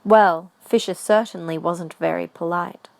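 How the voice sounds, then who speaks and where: A woman's voice: on the low side, fairly even intonation, measured pace, creaky. A woman reading scripted story narration for an audio drama.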